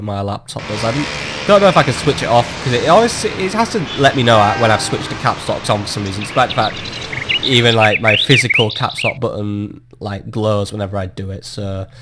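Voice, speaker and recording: A chatty man talks through his gameplay in a loose, joking way, now and then swearing at his own luck. His voice is still a little off from a recent cold, and a steady noise sits underneath the recording. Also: fairly low pitch. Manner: chatty, self-mocking, swears when annoyed. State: recovering from a cold. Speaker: gameplay commentator. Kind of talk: casual let's-play commentary. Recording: audible background noise.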